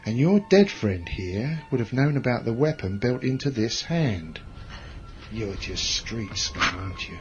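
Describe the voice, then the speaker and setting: A cold, menacing space commander in a science fiction audio drama. He is contemptuous and used to giving orders, and his pitch moves widely. The recording is slightly dull, with some music behind. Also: very animated, measured pace. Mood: cold menace. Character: menacing, cold, cruel male space commander with a weapon hand.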